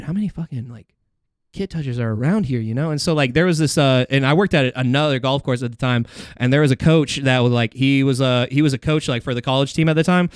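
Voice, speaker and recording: A young man telling casual comedic stories in a rambling, animated, slangy way with plenty of swearing. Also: mid-range voice, clean tone, dry recording, studio quality. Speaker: podcast guest, comedian.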